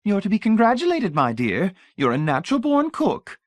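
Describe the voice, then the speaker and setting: A woman's voice: mid-range voice, huge pitch swings, normal speed, no room sound, studio quality, voice pushed up. A man voicing a gushing, sweetly menacing matronly older woman who talks in a syrupy, matter-of-fact way.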